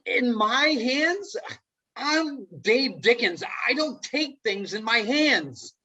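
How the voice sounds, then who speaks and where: A man's voice: very high voice, expressive, takes it slowly, sharp tone, husky, highs cut off, full character voice. A man playing an anxious, sceptical office worker in a comedy table read over a video call, sputtering with exasperation. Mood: incredulous.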